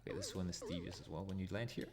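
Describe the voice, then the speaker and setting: Low gravelly Danish man playing a retro game. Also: level tone, racing, noisy background. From Denmark. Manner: thinks aloud, chuckles at his own deaths. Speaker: retro gaming channel host. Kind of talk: live gameplay commentary.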